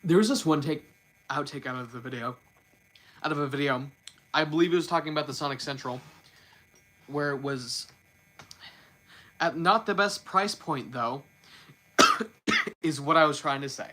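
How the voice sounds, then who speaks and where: A man's voice: a little high, moderate pace, long pauses, sharp tone. A man hosting a casual livestream and talking off the cuff. He stumbles, restarts his sentences and repeats words often. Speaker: livestream host.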